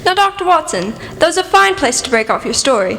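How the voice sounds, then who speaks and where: A woman playing an eager, excitable hostess in a radio play, gushing as she speaks. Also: high-pitched, brisk. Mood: eager, curious. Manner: animated, gushing. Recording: reverberant hall, clear music.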